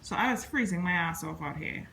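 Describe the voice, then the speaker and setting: Young woman vlogger from Trinidad and Tobago, bright and forward voice, chatty and exclaiming, phone mic, faint background hum. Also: fairly low pitch, expressive, brisk, creaky. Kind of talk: casual vlog chat. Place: at home, indoors and in the yard.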